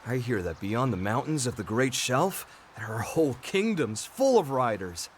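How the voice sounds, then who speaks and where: A young man playing an eager, friendly adventurer in a game cutscene, with a casual, excitable way of talking. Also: mid-range voice, normal speed, slight background noise, natural voice. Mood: animated.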